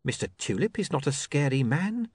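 A man voicing a smooth-talking criminal boss who persuades with wry patience and quiet menace. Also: a little high, sing-song, moderate pace, voice pushed up. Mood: coaxing.

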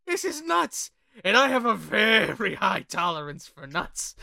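A podcast host voicing a brash, swaggering male killer doll in bombastic, drawn-out lines. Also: medium pitch, big pitch swings, vocal fry, sharp tone, no echo, clean studio sound, voice pushed up, full character voice. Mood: amused boasting.

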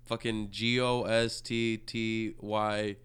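Stoned male podcast co-host, deadpan and curt, low smooth voice. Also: slow. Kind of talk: crude casual banter.

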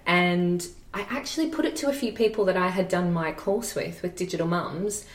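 A woman's voice: fairly low pitch, moderate pace. A woman, a podcast guest chatting casually and self-deprecatingly about her work, laughing easily. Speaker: podcast guest, social media marketer. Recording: roomy sound, distant from the mic.

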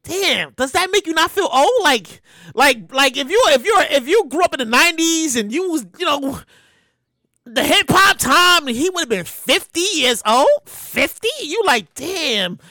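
New podcast host riffing solo, bright high voice, dry close sound. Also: moderate pace, no echo. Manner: animated, slangy, lots of repeats. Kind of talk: casual comedic monologue.

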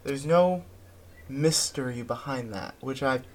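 A man co-hosting a podcast, chatting casually about pop culture in a halting way with drawn-out words. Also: medium pitch. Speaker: podcast co-host.

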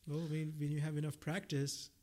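A man's voice: a little high, little pitch movement, brisk, a little mellow. A young man from India, a native Gujarati speaker, chatting casually as an interview guest, talkative and often repeating and rephrasing his phrases. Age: young adult. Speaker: podcast guest, PhD researcher.

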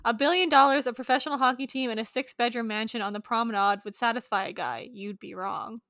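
A woman's voice: a little high, fairly even intonation, quick pace, fairly bright tone, no echo, clean studio sound. A woman co-hosting a podcast in a casual, informal chat about books. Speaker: podcast co-host.

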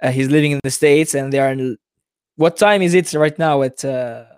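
Guy hosting a fan podcast over a video call, kinda high voice, dry sound, lots of uhs. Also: moderate pace, darkish tone, clean tone, no echo. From Italy. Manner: non-native English, hesitant with many uh fillers. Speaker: podcast host. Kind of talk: casual chat.

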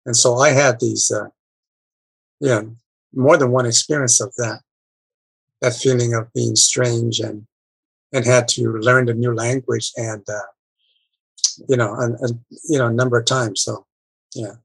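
A man talking in a casual group discussion, halting and full of fillers and restarts. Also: medium pitch, steady tone, creaky, breathy edge, no echo, low-quality recording. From abroad. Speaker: discussion participant.

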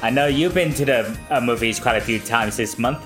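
A man's voice: mid-range voice, fairly quick, fairly bright tone, faint music behind. A man co-hosting a casual podcast, laid-back, answering in short reactions. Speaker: podcast co-host.

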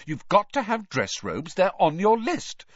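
A man's voice: high-pitched, huge pitch swings, a little slow, no room sound, highs cut off, voice pushed up, full character voice. A man reading aloud, voicing a fussing, cross middle-aged mother in a raised voice. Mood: scolding. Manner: sharp, scolding, motherly.

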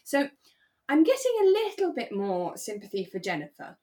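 A woman's voice: a little high, wildly swooping pitch, moderate pace, warm tone. Chatty, reflective woman podcaster. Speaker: podcast co-host. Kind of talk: casual chat discussing a radio drama.

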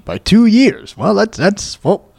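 A man's voice: sits high, big pitch swings, slow, vocal fry, darkish tone, dry recording, faint music behind. A man chatting casually as a podcast host, rambling and repeating his phrases as he reacts. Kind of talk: casual chat, reacting to a video.

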